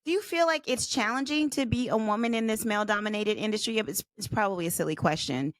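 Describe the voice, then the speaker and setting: A woman's voice: medium pitch, fast, dry recording, studio quality. A woman in her fifties hosting an interview, asking questions in an affirming, encouraging manner. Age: 50s. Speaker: podcast host. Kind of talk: interview conversation.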